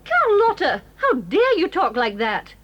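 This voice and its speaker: An actress with a British accent playing a bossy, exasperated games mistress who scolds and commands in an audio drama. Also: female voice, very high-pitched, huge pitch swings, unhurried, fairly bright tone, exaggerated voice. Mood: indignant. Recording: slightly dull lo-fi recording.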